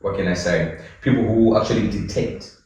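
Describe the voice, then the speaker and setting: A man's voice: lowish voice, normal speed, a little mellow. A man in casual chat, rambling and searching aloud for his words. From Zimbabwe. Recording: echoey room, mic far off.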